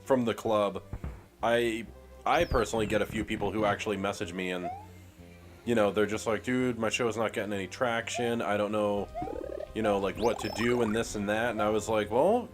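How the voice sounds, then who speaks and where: An easygoing man co-hosting a gaming podcast, chatting along in a relaxed, agreeable way. His voice is on the low side, with soft music behind him. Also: moderate pace. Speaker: podcast co-host. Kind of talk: casual gaming chat.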